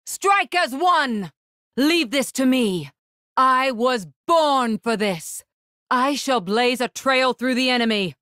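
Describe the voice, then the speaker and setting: A woman voicing a proud, composed, regal warrior spirit in a video game, with formal, commanding, measured diction. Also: high voice, lively intonation, pushed and bright, no room sound, clean studio sound, exaggerated voice. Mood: resolute, commanding. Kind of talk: video game battle lines.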